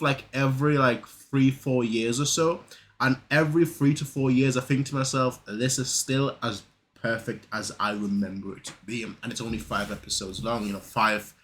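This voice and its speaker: A man's voice: medium pitch, sharp tone, a little hiss or hum. A man of twenty-eight with a northern English accent mixed with a German trace, chatting casually with many fillers and restarts as a reaction video host. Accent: northern English, Manchester and Lincoln, with a German trace. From south Germany.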